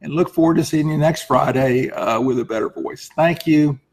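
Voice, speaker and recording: A man hoarse with laryngitis, speaking as a lawyer hosting an informal advice talk and often clearing his throat. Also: on the high side, moderate pace, darkish tone, low-quality recording. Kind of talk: informal explanatory talk to camera.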